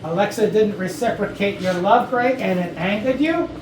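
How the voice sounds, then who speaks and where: A woman's voice: medium pitch, normal speed, close to an everyday voice. A woman playing a blunt, sardonic investigator in a murder mystery comedy reading, with a dry, probing, assertive manner. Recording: distant mic, echoey noisy room.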